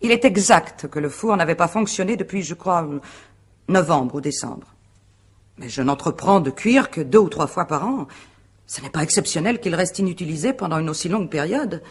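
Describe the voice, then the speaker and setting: A woman playing a defensive, guarded wife under questioning in a French radio mystery play, speaking precisely and formally in a husky voice. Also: fairly low pitch, animated, normal speed. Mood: composed, guarded. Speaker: actor.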